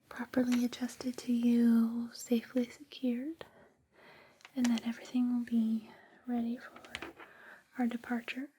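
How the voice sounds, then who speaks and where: A woman whispering gently and reassuringly in an ASMR personal-attention roleplay, with a breathy, soothing delivery. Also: a little high, slight background noise. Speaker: ASMR host playing a space suit fitting attendant.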